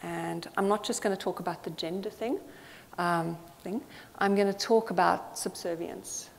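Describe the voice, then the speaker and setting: A woman's voice: lowish voice, fairly even intonation, normal speed, vocal fry. A woman from Zambia giving an earnest, thoughtful conference talk, with frequent fillers. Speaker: conference speaker. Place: conference hall. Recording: reverberant hall with faint noise.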